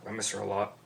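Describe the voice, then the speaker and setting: Man as subdued grieving teen boy, stage play; low, very slow and halting, faint noise. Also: gravelly, full character voice. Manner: halting, repeats himself. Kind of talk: one-act play letter monologue.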